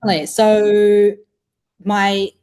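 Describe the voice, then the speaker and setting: A woman's voice: mid-range voice, monotone, measured pace, darkish tone. A woman in her twenties with a New Zealand accent, chatting casually as an interview guest. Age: 20s. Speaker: podcast guest, food entrepreneur.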